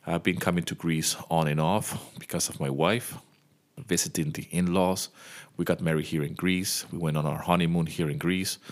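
A man's voice: low voice, fairly even intonation, moderate pace. A man in his thirties who grew up in Venezuela, hosting a casual podcast monologue and talking straight to his listeners. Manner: chatty, speaks straight to the listener. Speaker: podcast host, music composer. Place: home.

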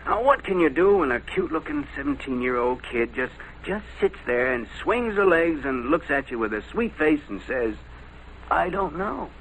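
A man's voice: a little high, very animated, moderate pace, fairly bright tone. A man playing a weary, tough American police lieutenant with an emphatic, folksy manner in an old radio drama. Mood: frustrated. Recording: noisy vintage radio recording.

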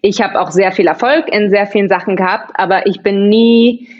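A woman's voice: mid-range voice, fairly even intonation, moderate pace, muffled recording. A woman in her thirties from Barcelona, chatting as an interview guest, laughing often and restarting her sentences. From Barcelona, Spain. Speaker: podcast guest. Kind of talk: casual interview chat.

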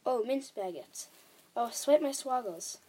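A woman voicing an excitable, kindly male giant in a children's storybook, emphatic and exclamatory, with quaint muddled grammar. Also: mid-range voice, moderate pace, natural voice. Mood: anguished, furious.

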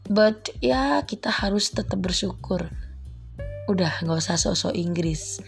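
A woman's voice: medium pitch, animated, measured pace, gravelly. A woman talks alone into her podcast mic, in a mid-height voice that wanders and trips over itself. She giggles often and pokes fun at herself as she goes. Faint music sits under her voice. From East Java, Indonesia. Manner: giggly, rambling, self-deprecating. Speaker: housewife podcaster. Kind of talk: casual solo podcast monologue.

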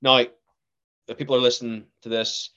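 A man in his twenties with a Northern Irish accent, an actor chatting as a podcast guest, lively and acting out what others said to him. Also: mid-range voice, moderate pace, clear, resonant tone, muffled recording. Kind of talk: casual interview chat.

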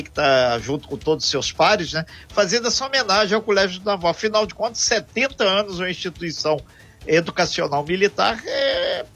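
A man's voice: sits high, bright, forceful tone. A male radio talk show host who speaks like an announcer, giving the time and introducing his guest.

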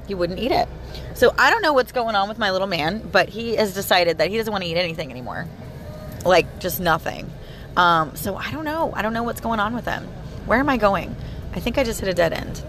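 Brief: bubbly, hoarse woman in her 30s, mid-pitched, talking to her audience from the driver's seat, soft car hum behind. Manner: chatty, bubbly, addresses listeners as "you guys". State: hoarse, tired voice. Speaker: audio blog host. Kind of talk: casual daily vlog chat. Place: inside a car while driving. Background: car engine and road noise.

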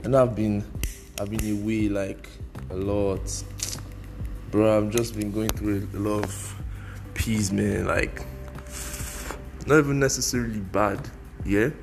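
A man's voice: lowish voice, steady tone, unhurried, pauses often, soft background music. A young Nigerian man whose first language is Igbo, hosting a casual solo podcast in a loose, rambling way, mixing slang and pidgin. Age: young adult. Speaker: podcast host.

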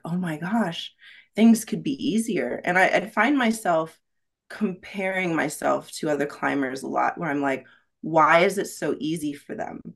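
A woman with a low voice speaks candidly as a podcast guest. She reflects earnestly and often tags her points with "right?". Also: vocal fry. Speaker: podcast guest, rock climbing guide. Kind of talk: candid conversational interview.

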